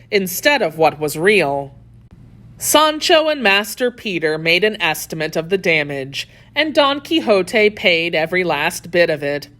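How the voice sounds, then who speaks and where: A woman narrating a children's story from a script, telling it in an expressive, deliberate way. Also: fairly low pitch.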